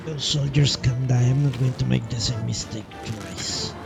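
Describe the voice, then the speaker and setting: A man's voice: medium pitch, moderate pace, vocal fry. A man streaming a video game with casual live commentary, muttering to himself and swearing now and then. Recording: loud game music behind voice. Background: loud video game music.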